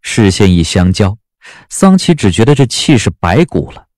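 Man, audiobook narration, lowish pitch, animated intonation, measured dramatic storytelling. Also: dry recording, studio quality.

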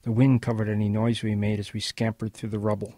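A man playing a reflective old grandfather who solemnly narrates his wartime boyhood in a radio drama, with a gravelly voice. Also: on the low side, level tone, dark tone, slight background noise, natural voice. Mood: nostalgic, mysterious. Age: older. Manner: measured, solemn reminiscence.

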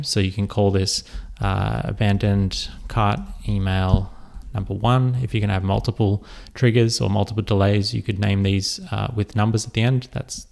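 A man giving a relaxed step-by-step software tutorial over a screen recording, with frequent fillers. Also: lowish voice, vocal fry.

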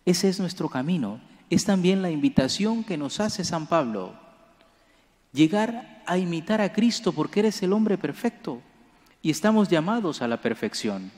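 A young man, a priest, preaching a homily at a lectern microphone in a church, earnest and measured, rising for emphasis. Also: sits high, sing-song, darkish tone. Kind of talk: homily at Mass. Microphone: lectern gooseneck mic. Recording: long church reverb.

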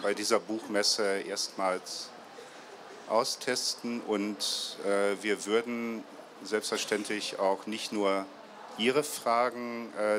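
Ein Mann in den Fünfzigern moderiert ein Buchgespräch auf einer Messebühne vor Publikum, mit Handmikrofon, stockend und mit vielen Füllwörtern. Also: male voice, fairly low pitch, fairly bright tone, a little hiss or hum. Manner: halting, many fillers and restarts. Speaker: moderator, publisher's marketing head. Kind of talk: moderated book talk. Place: trade fair stage before a seated audience. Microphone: handheld mic.

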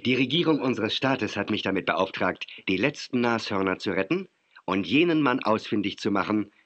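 Courteous government ranger, bright forceful voice, very lively pitch, formal, 1980s children's radio play. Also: male voice, moderate pace, dull audio. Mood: calm, explaining. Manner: formal, explanatory. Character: self-assured, courteous male undercover government ranger. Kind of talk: 1980s children's audio drama acting.

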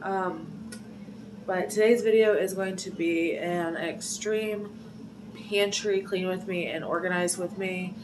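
A woman's voice: on the low side, steady tone, slow, far from the mic, noisy background. A young woman with a cold, her nose clogged, chatting casually to camera in a small pantry, rambling with many fillers. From Ohio. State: congested from a cold. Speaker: vlogger. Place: small home pantry, indoors. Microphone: phone camera at arm's length.

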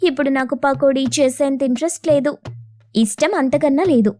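A woman's voice: high voice, moderate pace, warm tone, soft background music, close to an everyday voice. A woman voicing a reluctant, whiny, evasive wife sparrow in a children's cartoon. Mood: grumbling to herself. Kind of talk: children's cartoon story dialogue.

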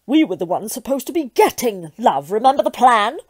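A woman's voice: on the high side, big pitch swings, a little fast. Petulant, swooping devil, played by a woman. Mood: baffled. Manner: theatrical, indignant, haughty. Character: petulant, vain Satan, a self-pitying comic villain. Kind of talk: comic audio drama acting.

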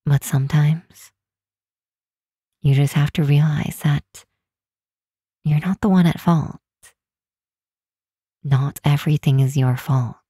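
A woman playing a regal, protective demon queen in a comforting ASMR roleplay, speaking softly and soothingly in a deliberate, hushed way. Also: deep voice, fairly even intonation, dry recording, studio quality, exaggerated voice. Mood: gentle, consoling. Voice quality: soft, breathy, hushed.

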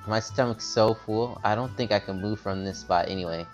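A man casually reading a story game's text aloud and chatting, stumbling over longer words, with soft game music behind him. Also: on the low side, a little fast. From America. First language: not English. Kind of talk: casual let's-play reading and chat.

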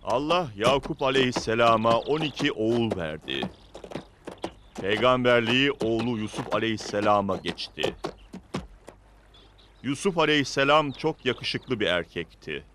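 A man narrating a religious cartoon story in a solemn, measured way. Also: medium pitch, animated, background noise, close to an everyday voice.

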